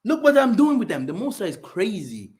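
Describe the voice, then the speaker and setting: An older man whose first language is German, hosting a rambling, animated stream and reading Bible verses aloud, clearing his throat often. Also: high-pitched, brisk. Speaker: channel host. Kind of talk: livestream monologue with scripture reading.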